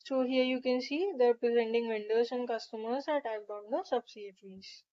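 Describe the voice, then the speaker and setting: A woman narrating a step-by-step software tutorial in a methodical, instructional way. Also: a little high, fairly even intonation, brisk, dull audio.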